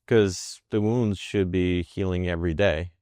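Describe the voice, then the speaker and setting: A man in his forties with a very low voice. He speaks as an interview guest, with halting phrasing, fillers and restarts. He is recorded dry and close, with no room sound. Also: fairly even intonation, a little mellow, no room sound. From Russia. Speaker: wound care physician.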